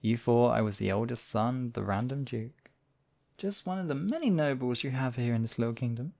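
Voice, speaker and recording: A man's voice: medium pitch, brisk, creaky, a little mellow, close to an everyday voice. A man playing a smug, obsessive demon king in a theatrical audio roleplay, silky and possessive in his wooing. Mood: wistful, wooing. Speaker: voice actor. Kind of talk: theatrical roleplay monologue, ASMR audio drama.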